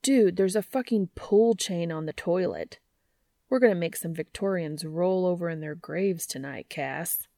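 A woman's voice: on the low side, unhurried, creaky, dark tone, no room sound, clean studio sound. A woman voicing a brash, slangy, earnest man in an audiobook-style story. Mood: excited, teasing. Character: brash, swearing, tender young-ish man named Dean.

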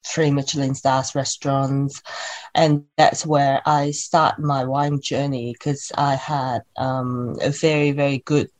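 A woman's voice: a bass voice, little pitch movement, takes it slowly. Chatty Hong Kong woman, podcast guest. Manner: chatty, with many fillers and restarts. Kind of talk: podcast interview.

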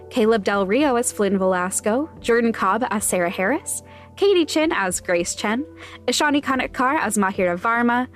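A woman delivers scripted narration as a fiction podcast's announcer. Her pitch is average for a woman, and light music runs underneath her reading.

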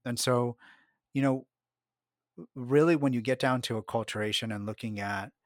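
A middle-aged man with a fairly low voice talks through his ideas as a podcast guest, hedging with "um" and "you know" and restarting his phrases. The recording is close-miked and dry, with no room sound. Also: no room sound. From United States. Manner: thoughtful, many fillers and restarts. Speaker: market researcher, podcast guest. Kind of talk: conversational interview answer.

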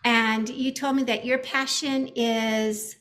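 A woman with a somewhat high voice hosts a community webinar over a video call. She introduces her guest in an earnest, welcoming way and puts questions to her. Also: fairly even intonation, slow pace, a bit bright. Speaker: webinar host. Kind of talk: hosting an interview.

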